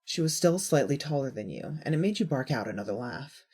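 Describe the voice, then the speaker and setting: A woman with a husky voice reading scripted fiction as a narrator. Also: rumbling low, expressive, brisk, dark tone.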